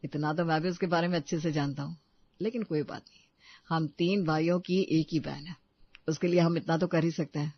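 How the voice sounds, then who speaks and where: A woman's voice: low voice, fairly even intonation, fairly quick, husky, no echo, highs cut off. A woman voicing an easygoing, kindly grown man in a Hindi moral story cartoon. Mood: indulgent.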